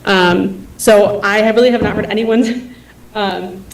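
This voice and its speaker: A woman's voice: mid-range voice, fairly even intonation, slow pace, distant mic. Woman, casual, lots of um and like, echoey room, off-mic. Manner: casual, many fillers like "um" and "like". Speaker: teacher. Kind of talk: board meeting testimony. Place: meeting room.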